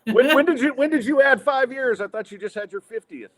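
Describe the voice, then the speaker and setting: A man's voice: extremely high pitch, a little fast, fairly bright tone. A man in his fifties hosting a podcast, joking in a self-deprecating, wry way. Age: 50s. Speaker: podcast host. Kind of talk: casual podcast banter.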